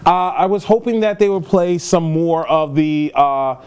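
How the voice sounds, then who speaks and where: A man's voice: a little high, fairly even intonation, measured pace, darkish tone, clean tone. A man co-hosting a casual film review chat, talking in a rambling, emphatic way and repeating himself. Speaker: film podcast co-host.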